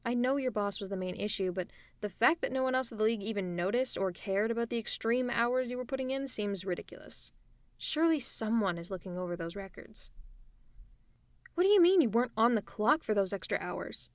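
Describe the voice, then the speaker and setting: A woman performing an audio roleplay, talking softly and teasingly to the listener as if to a friend. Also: a little high, animated, fairly quick, dry recording. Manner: soft, caring, teasing, often near a whisper. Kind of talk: ASMR roleplay, speaking to the listener.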